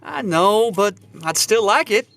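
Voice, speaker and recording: An earnest young suitor played by an actor in an audio drama. His voice sits high for a man and moves up and down freely, sheepish and devoted. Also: lively intonation, unhurried, gravelly, a bit bright, exaggerated voice.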